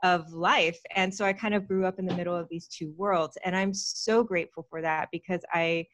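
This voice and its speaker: A woman's voice: lowish voice, fairly even intonation, moderate pace, a bit bright. A woman in her thirties from Texas, telling her life story openly as a podcast guest, with fillers and small laughs. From Texas, United States. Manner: chatty and open, with frequent fillers and small laughs. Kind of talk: candid personal storytelling in an interview. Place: small room at home, indoors. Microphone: large studio mic with foam cover, close.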